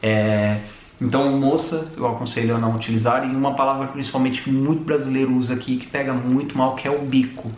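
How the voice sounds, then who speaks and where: A man's voice: mid-range voice, flat delivery, fast, a little mellow. A young Brazilian man with a Brazilian Portuguese accent, co-hosting a casual vlog and explaining things with many restarts and fillers. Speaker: vlogger co-host. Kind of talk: casual chat. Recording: echoey room with faint noise.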